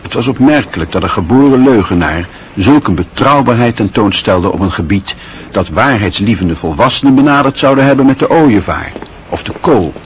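A man narrating a Dutch radio play in a measured, literary storytelling manner. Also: on the low side, lively intonation, a little hiss or hum.

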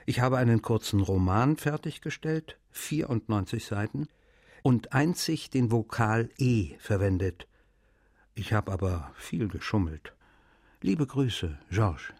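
An actor playing a wry, weary male writer reading his letters aloud in a radio feature, in a measured, unhurried manner. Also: lowish voice, animated, a little mellow, no echo, studio quality, heavily acted. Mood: friendly.

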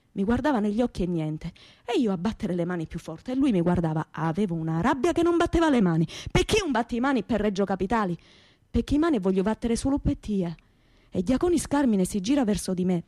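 Radio theatre: fiery young working-class woman, Calabrian accent, highish voice, emphatic folk storyteller, very dry recording. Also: dark tone, no echo. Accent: southern Italian, Calabrian. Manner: colloquial, emphatic, repetitive storytelling.

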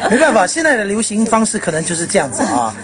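A woman's voice: medium pitch, very animated, fast talker. A woman in casual radio chat, exclaiming and agreeing readily. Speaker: radio host.

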